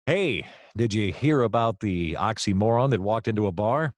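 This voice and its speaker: Male actor as comic noir detective, deep voice, dry close sound, wry, wordy, deadpan radio narration. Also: moderate pace, no echo, close to an everyday voice. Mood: jokey, animated. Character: wry, jokey noir private detective, a grown man. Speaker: narrator and lead character.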